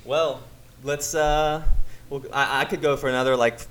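Teasing, laughy podcast cohost guy, normal pitch. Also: fairly even intonation, moderate pace, fairly bright tone. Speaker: podcast co-host. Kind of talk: casual podcast chat.

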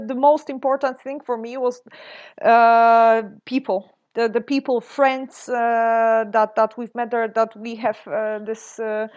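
A Polish woman in her thirties, a guest speaking in an interview, often pausing on fillers and restarting her sentences. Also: on the high side, a little mellow. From Poland. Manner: hesitant, many fillers and restarts. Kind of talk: interview conversation. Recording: dull lo-fi sound.

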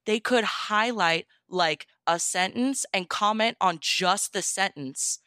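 A woman's voice: mid-range voice, pushed and bright, clear, resonant tone, no echo, clean studio sound. A young woman chatting casually as a podcast guest, gushing with enthusiasm and peppering her talk with "like".

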